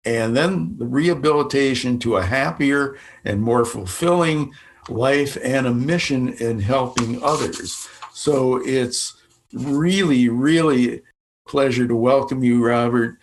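A man with a voice of middling pitch hosts a podcast. He reads a measured introduction of his guest, drawing out some words, and then asks his guest questions. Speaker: podcast host.

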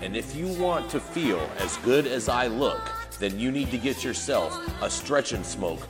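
A man delivering a casual promotional pitch as host in an advertisement. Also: animated, normal speed. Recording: loud hip hop music bed. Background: loud hip hop music.

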